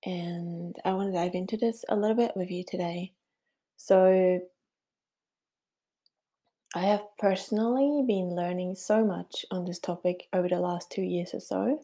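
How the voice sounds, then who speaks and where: A woman's voice: fairly low pitch, steady tone, measured pace, warm tone. A middle-aged woman from Switzerland with a Swiss German accent, hosting a casual solo podcast in a chatty, upbeat way with frequent fillers. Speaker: podcast host.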